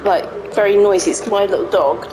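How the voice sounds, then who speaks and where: A woman hosting a farming game let's-play in casual running commentary, her voice a little croaky, chatting to herself as she works. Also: mid-range voice, fairly even intonation, a little fast, music in the background, poor audio. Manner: chatty, talks to herself while playing. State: slightly croaky voice. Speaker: gaming channel host. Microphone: home desk microphone.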